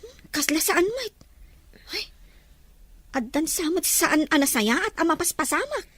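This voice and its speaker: A woman voicing inquisitive young student friend, high pitch, dry close sound, thoughtful, audio drama. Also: big pitch swings, a little slow, fairly bright tone, dry recording, exaggerated voice. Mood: pondering, gentle probing. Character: young female student, thoughtful, questioning confidante. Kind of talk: Filipino audio drama dialogue in Ilocano.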